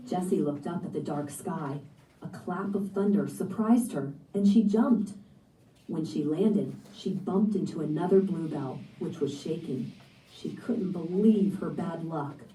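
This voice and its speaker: Woman storybook narrator, lowish and very mellow, reading scripted prose; roomy, slightly distant sound. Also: unhurried, a little hiss or hum. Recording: echoey room, picked up from a distance.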